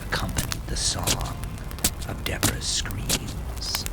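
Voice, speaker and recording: A man narrating dark fiction in an intense, theatrical way, often sinking to a hushed murmur. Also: slow pace, very noisy. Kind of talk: dramatic scripted fiction narration. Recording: loud music under the voice. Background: loud music.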